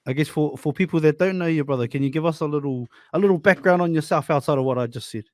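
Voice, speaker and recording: A man's voice: a little high, fast talker, no echo, studio quality. A man hosting a podcast in a casual, friendly way, calling people "brother". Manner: friendly, calls listeners and guest "fam" and "brother". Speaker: podcast host.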